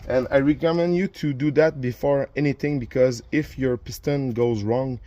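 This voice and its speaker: A man's voice: mid-range voice, fairly even intonation, normal speed, dark tone, no room sound. A man whose first language is French, hosting a do-it-yourself car repair video and explaining each step casually while he works. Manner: plain, instructive, with frequent restarts and filler. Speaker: DIY car repair channel host. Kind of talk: casual how-to narration while working.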